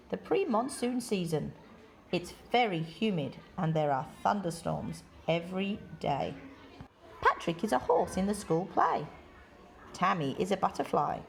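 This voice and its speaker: A woman reading a children's picture book aloud in deliberate, measured phrases with expressive emphasis. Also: lowish voice, vocal fry, slight background noise. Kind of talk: read-aloud children's story.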